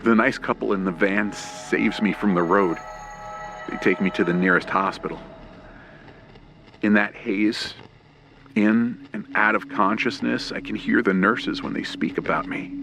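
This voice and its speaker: Slow, low, gravelly man narrating horror. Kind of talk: scripted first-person horror narration in old radio play style. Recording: clear background noise with ambience and vehicle sounds. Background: rain, ambience and vehicle sound effects.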